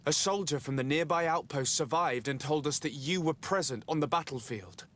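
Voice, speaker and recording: An actor playing a composed, diplomatic envoy in a video game, formal, measured and earnest. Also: male voice, a little high, fairly bright tone, lightly acted. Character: composed, diplomatic young male envoy seeking his lost cousin. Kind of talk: video game cutscene acting.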